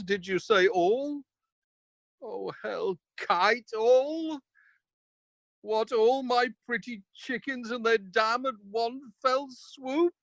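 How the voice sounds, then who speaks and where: A man acts out a proud nobleman broken by grief. His delivery is slow, halting and anguished, in verse for an amateur radio play. The sound is dry, with little room in it. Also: high-pitched, no echo, exaggerated voice. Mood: stunned grief. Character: grief-stricken Scottish nobleman, proud warrior father. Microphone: recorded over video call.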